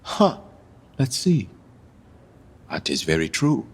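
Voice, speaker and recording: A man's voice: lowish voice, huge pitch swings, slightly rough, in a large hall, exaggerated voice. An actor playing a proud, bitterly ironic deposed king who mourns himself in theatrical Shakespearean verse for radio. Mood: bitter, musing. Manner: theatrical verse delivery, musing, mocking pauses. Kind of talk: Shakespearean radio drama acting.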